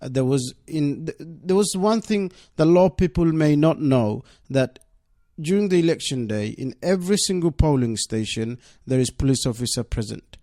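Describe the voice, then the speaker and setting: A man's voice: a little high, lively intonation, moderate pace, dark tone, no room sound. A man whose first language is Bengali, a deputy mayor answering interview questions earnestly in a radio studio and leaning on "obviously". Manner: earnest, leans on "obviously", insistent.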